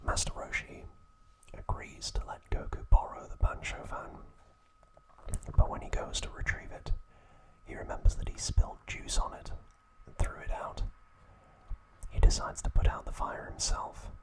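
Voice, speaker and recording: A man narrating scripted trivia as an ASMR host in a soft, breathy, unhurried whisper. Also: low-quality recording.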